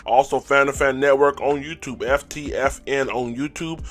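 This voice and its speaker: Hoarse male fan-show host, casual, hyped, clears throat often, mid-pitch. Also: steady tone, normal speed, a bit bright. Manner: hype call-and-response, throat clearing. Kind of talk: casual sports fan recap.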